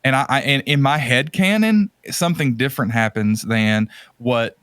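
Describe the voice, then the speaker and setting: A man's voice: mid-range voice, moderate pace, a bit bright, clean tone, dry recording, clean studio sound. A man co-hosting a podcast in a casual, opinionated and rambling conversational style. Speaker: podcast co-host. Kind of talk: casual chat about video games.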